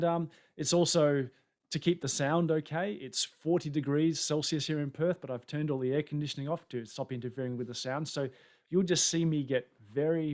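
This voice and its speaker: Chatty Australian man presenting a webinar. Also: medium pitch. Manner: chatty, self-deprecating. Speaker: conference presenter. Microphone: webcam setup on a video call.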